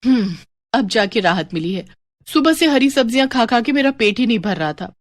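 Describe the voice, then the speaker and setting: A woman's voice: a little high, animated, normal speed, no room sound, clean studio sound, lightly acted. A woman voicing a sweet, eager young woman in a cartoon story. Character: young Indian village woman craving mutton, sweet, eager.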